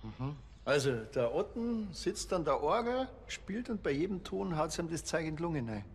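Ein Schauspieler spielt einen derben, witzelnden bayerischen Dorfpolizisten mit bayerischem Akzent in einem Fernsehkrimi. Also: male voice, a little high, expressive, moderate pace, slight background noise. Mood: easygoing. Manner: folksy, teasing banter. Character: Bavarian village cop, blunt and joking. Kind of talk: TV crime comedy dialogue.

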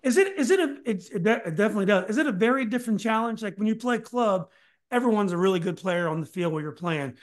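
A middle-aged man hosts a casual podcast interview over a video call from a book-lined home study. His voice sits high for a man, and he often stops and restarts his questions. He speaks into a desk mic. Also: lively intonation, fast talker. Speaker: podcast host.

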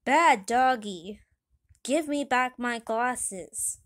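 Woman playing a slow, bossy, indignant town mayor. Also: on the high side, lightly acted. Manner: indignant, barking orders. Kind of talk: children's comic read aloud.

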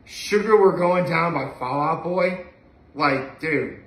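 A man's voice: on the high side, slow pace, vocal fry, a bit bright. A young man chatting casually and enthusiastically to camera in a rambling, conversational way, recorded in a living room from a few feet away. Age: young adult. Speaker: vlogger. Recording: echoey room, mic at a distance.

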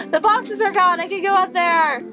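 A woman's voice: very high-pitched, fairly even intonation, unhurried, music in the background. A woman doing playful, exclaiming let's play commentary with sing-song bits. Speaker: gaming video maker. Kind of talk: let's play commentary, reading game dialogue aloud.

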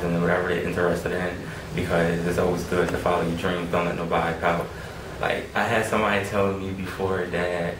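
A young man talking to camera about his own life, rambling with many fillers. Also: low-pitched. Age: young adult. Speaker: youth program member. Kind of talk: personal testimony to camera. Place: small room against a plain wall. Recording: echoey room, distant mic, background noise.

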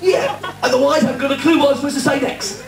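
A man's voice: very high-pitched, fast, vocal fry. A man playing a bossy, fussy young Cinderella in an amateur pantomime, insistent and broadly comic. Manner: insistent, repetitive instructing, broad comic delivery. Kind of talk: amateur pantomime comedy double act. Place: stage in a hall with audience. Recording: echoey distant stage tape, loud crowd, music.

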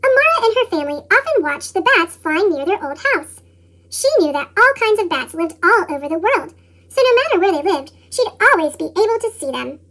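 Lady reading a kids picture book in a super high chipmunk voice, really swoopy intonation. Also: big pitch swings, a little fast, fairly bright tone, rough recording. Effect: pitched-up chipmunk voice effect. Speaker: children's storybook narrator. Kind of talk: read-aloud scripted narration.

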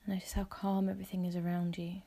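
A woman leading a guided visualisation meditation in a soft, breathy, husky voice, speaking gently and calmly in measured, soothing phrases. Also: fairly low pitch.

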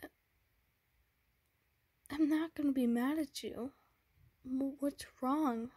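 A woman voicing an anxious, tender young boyfriend in a whispered romance roleplay, speaking softly with nervous stammers. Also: high-pitched, steady tone, slow, no echo, voice pushed up. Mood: worried pleading.

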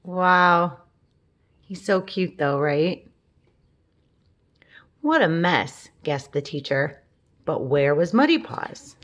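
A woman reading a children's picture book aloud, gently, with asides and questions to young listeners. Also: deep voice, slow pace. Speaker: storybook reader.

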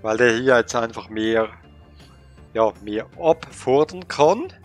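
A man with a cold, thinking aloud with many pauses and fillers while commenting on a game he plays. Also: medium pitch, expressive, faint music behind. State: has a cold. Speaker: let's play gamer. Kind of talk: casual gameplay commentary.

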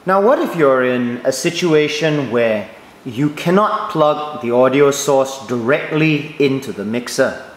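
Man calmly explaining audio gear. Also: mid-range voice, measured pace. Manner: clear, methodical explaining. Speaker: church sound trainer. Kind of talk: instructional voice-over. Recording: echoey room, faint noise.

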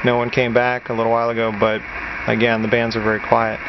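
A man's voice: mid-range voice, monotone, fast. A man talking casually and matter-of-factly through a how-to project, like a hobbyist showing his work. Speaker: amateur radio hobbyist, video maker. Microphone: handheld camera's built-in mic. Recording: dull camera-mic audio with clear hiss and hum.

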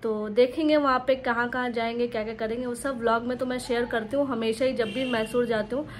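A woman's voice: a little high, fairly even intonation, brisk, a little hiss or hum. A woman from Bangalore, India, narrating her day in a chatty way and talking straight to her viewers as friends. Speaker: vlogger. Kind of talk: casual vlog narration.

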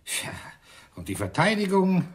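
A man playing an angry, sardonic police inspector in a German radio crime drama, gruff and sarcastic. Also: high-pitched, big pitch swings, unhurried, full character voice. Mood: bitter. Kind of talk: German radio play acting.

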